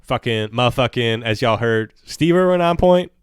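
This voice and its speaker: A man's voice: medium pitch, lively intonation, moderate pace, clean tone, dry recording, studio quality. A man co-hosting a podcast in crude casual banter, profane and joking, often trailing off mid-sentence. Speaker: podcast co-host.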